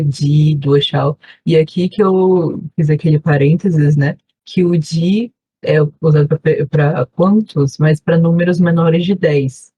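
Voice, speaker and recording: A woman's voice: sits low, breathy edge, lo-fi sound. A woman with a Brazilian Portuguese accent, a volunteer teacher giving an online class review, explaining patiently and checking in often with her students. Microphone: video call.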